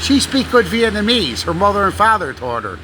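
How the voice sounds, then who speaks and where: A man's voice: high voice, very animated, normal speed, sharp tone. Chatty man, noisy street outdoors. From Canada. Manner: chatty, repeats words, broken grammar. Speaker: vlogger. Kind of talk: casual rambling vlog.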